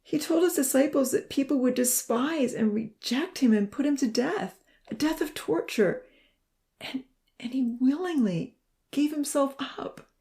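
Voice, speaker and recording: A woman voicing a young burned man who is anguished and crying, his breathy whisper breaking into sobs. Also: fairly high pitch, lively intonation, a little slow, dark tone, voice pushed up. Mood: choked.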